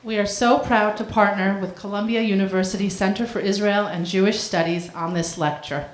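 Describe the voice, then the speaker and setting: A woman reading prepared opening remarks at a lecture, measured and earnest with a few small slips. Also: mid-range voice, fairly even intonation, roomy sound. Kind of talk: prepared welcome speech. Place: university lecture hall.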